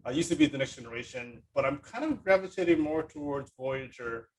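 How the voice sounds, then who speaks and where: A man's voice: medium pitch, animated, fairly quick, low-quality recording. A man hosting a casual interview, chatting enthusiastically in a rambling way and often repeating himself for emphasis. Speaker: podcast host.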